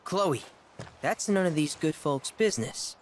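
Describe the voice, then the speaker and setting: A cocky young ranch boy played by an actor in a video game, with a Southern American twang. He haggles in a folksy, businesslike way, his pitch bouncing around. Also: animated, unhurried, lightly acted. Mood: stern. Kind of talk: video game cutscene acting.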